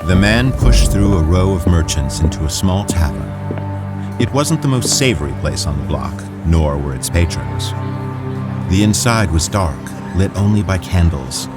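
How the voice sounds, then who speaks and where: A man narrating an audio drama as a measured, atmospheric storyteller. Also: low voice, lively intonation. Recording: loud music under the voice.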